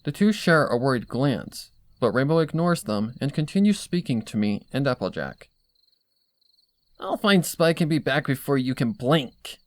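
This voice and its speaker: A man's voice: medium pitch, no echo. A man narrating a dramatic first-person story from a script. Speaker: fan fiction narrator.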